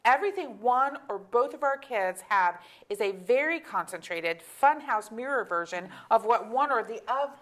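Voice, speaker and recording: A woman's voice: a little high, lively intonation, normal speed, hardly any pauses, a bit bright. A woman acting an exasperated, candid middle-aged mother in a staged comedy reading, with a wry, emphatic delivery. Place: auditorium stage.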